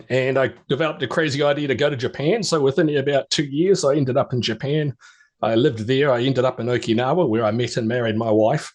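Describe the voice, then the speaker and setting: A man's voice: mid-range voice, darkish tone. Chatty middle-aged New man. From New Zealand. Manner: rambling storyteller with restarts and stammers. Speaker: podcast guest. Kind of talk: casual interview chat.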